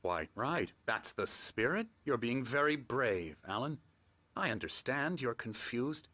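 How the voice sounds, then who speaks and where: A man playing a middle-aged psychiatrist in a video game, calm and measured, patronizing as he soothes patients at his clinic. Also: medium pitch, exaggerated voice. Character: calm, smooth, condescending male psychiatrist running a clinic. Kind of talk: video game cutscene acting. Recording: music playing behind the voice.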